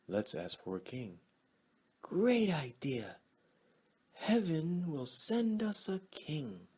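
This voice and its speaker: A man voicing excitable, emphatic frogs in a storybook tale. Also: a little high, takes it slowly, husky, voice pushed up, heavily acted. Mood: eager, rallying. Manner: exclamatory, emphatic. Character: excitable frogs of a pond, pleading and shouting. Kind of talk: storybook character voices.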